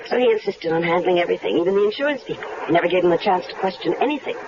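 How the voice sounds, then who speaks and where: Actress for a scheming wife who fakes grief; coy, animated, on a muffled radio recording. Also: female voice, fairly low pitch, natural voice. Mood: gloating. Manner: coy, flirtatious, quick to feign hysterics. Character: scheming wife faking grief, adult woman. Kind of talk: 1970s radio drama acting. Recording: muffled old radio broadcast with hiss.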